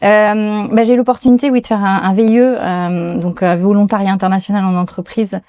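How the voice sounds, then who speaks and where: A woman talking as a guest executive in an interview, often pausing and restarting her sentences. Her voice is mellow and mid-pitched. The sound is dry and close. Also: steady tone, no room sound. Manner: hesitant, many fillers and restarts. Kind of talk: interview conversation. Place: restaurant in Paris.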